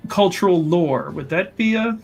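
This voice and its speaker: A man's voice: sits high, lively intonation, moderate pace, darkish tone. A man playing a tabletop roleplaying game, talking casually as one of the players. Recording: music behind the voice. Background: music.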